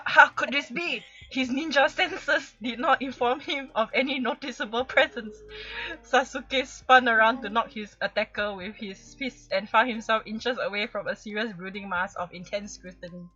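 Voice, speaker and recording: A woman's voice: medium pitch, pushed and bright, breathy edge, highs cut off. A woman with a Singaporean accent playfully reading fan fiction on a livestream, often breaking into giggles. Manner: giggly, often breaking into laughter mid-sentence. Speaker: livestream host.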